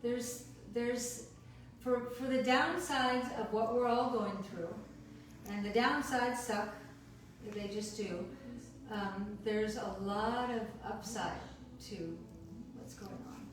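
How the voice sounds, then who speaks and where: An agreeable woman with a voice a little above average pitch, chatting casually and echoing her friend's points. She sits well back from the mic in a very echoey room. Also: slow pace, far from the mic. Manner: warm, agreeable, repeats herself. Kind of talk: casual chat. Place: home, recorded remotely.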